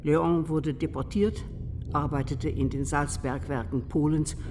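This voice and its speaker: A woman's voice: low-pitched, fairly even intonation, measured pace. An actress playing a grieving older mother in a radio play, bearing witness and demanding justice in a solemn, declamatory way. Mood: solemn grief. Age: 60s. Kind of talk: radio play testimony and public appeal. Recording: long reverb, loud background noise.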